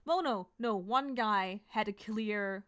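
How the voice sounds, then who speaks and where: Smooth-voiced woman chatting loosely. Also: mid-range voice, slow, a bit bright. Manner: rambling, thinking aloud with many fillers. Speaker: artist streaming while drawing. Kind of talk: casual chat to stream viewers.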